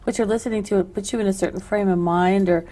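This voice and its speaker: A woman's voice: medium pitch, fairly even intonation, quick pace, warm tone. A middle-aged woman hosting a local arts interview show, asking long, rambling questions full of "you know". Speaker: TV show host. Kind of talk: casual interview. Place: local cable TV studio.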